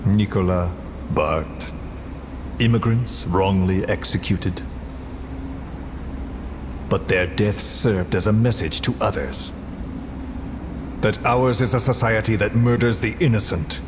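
An actor playing a cold, philosophical video game villain who interrogates with slow, deliberate menace. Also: male voice, on the low side, background noise. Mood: coldly musing.

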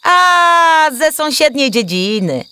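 Woman putting on a high-pitched, sly young water demon. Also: sing-song, takes it slowly, pushed and bright, exaggerated voice. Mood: breezy, boastful. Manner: boastful, evasive.

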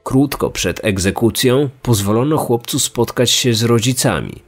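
A man delivering serious, measured scripted true-crime narration as a podcast host. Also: medium pitch, expressive. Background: music.

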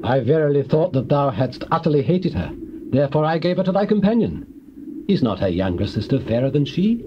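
A man's voice: fairly high pitch, very animated, fairly quick. An actor playing an anxious, placating father-in-law in a dramatized scripture reading. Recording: muffled, noisy old cassette transfer.

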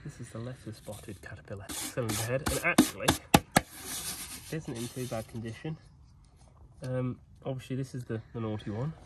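A man's voice: mid-range voice, normal speed, heavy background noise. A young man in his twenties, chatting cheekily and thinking aloud as he strips an engine outdoors at night, recorded on a nearby camera. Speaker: vlogger mechanic. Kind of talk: casual workshop narration. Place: outdoors at night by an old digger.

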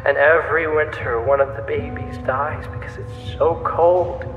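Male actor as deranged bitter killer, slow theatrical delivery, halting, gleeful menace, echoey hall, audio drama. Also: a little high, steady tone, dull audio, close to an everyday voice. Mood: bitter, resentful. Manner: halting, breathy whispers to sudden outbursts. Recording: echoey reverberant hall, faint music behind.

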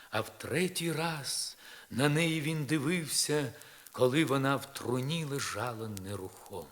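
A man reading poetry aloud in a solemn, expressive, dramatic recitation with measured pauses, from a vinyl record. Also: mid-range voice. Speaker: poetry reader.

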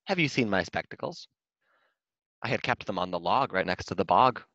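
A man's voice: low voice, animated, moderate pace, fairly bright tone, no echo, highs cut off. Man playing an earnest, muddled storybook hedgehog. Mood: hopeful, polite. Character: muddled, polite, near-sighted male hedgehog.

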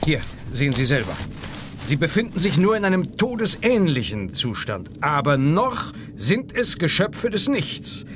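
An actor playing a grave, learned scientist in a theatrical, explanatory radio play manner. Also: male voice, a little high, very animated, measured pace. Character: grave, learned male scientist professor facing danger. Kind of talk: German children's sci-fi radio play acting. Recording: aircraft and action noise behind the voice.

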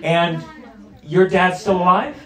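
A man in his thirties, a stand-up comedian doing crowd work on a club stage with a handheld mic, talking in an animated, incredulous way. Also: high voice, slow, recorded from a distance, very noisy. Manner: animated, incredulous, laughing often. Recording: roomy club sound with crowd laughter.

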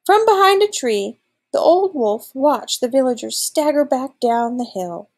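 A woman's voice: sits high, animated, measured pace. A woman in her thirties reading a children's storybook aloud expressively, like a teacher.

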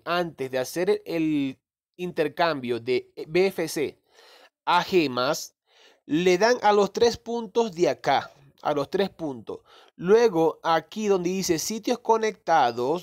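A man casually talking through a phone screen recording, rambling and correcting himself as he explains a crypto game. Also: high voice. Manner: rambling, self-correcting, mild swearing. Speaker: crypto gaming youtuber.

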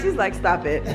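High-pitched, animated American woman vlogger. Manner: animated, exclamatory, often breaks into sing-song. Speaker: family vlog host. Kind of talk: casual vlog chat. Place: indoors in a family home. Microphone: handheld vlog camera at arm's length. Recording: loud music behind the voice.

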